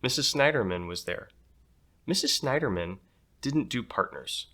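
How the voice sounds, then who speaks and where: A man reading a children's story aloud in an expressive, playful way. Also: medium pitch, unhurried. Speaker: storybook reader.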